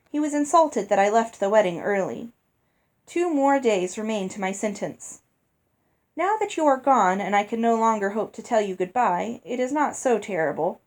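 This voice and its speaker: A woman's voice: on the high side, a little mellow, lightly acted. A woman reading the storybook letter of a polite, curious young girl. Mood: apologetic. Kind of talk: storybook letter read aloud.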